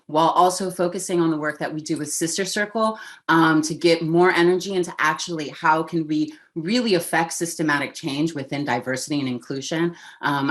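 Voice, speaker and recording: A woman from Oakland, California, a creative director and activist, introducing herself on a video call panel in a deliberate, reflective way. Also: low-pitched.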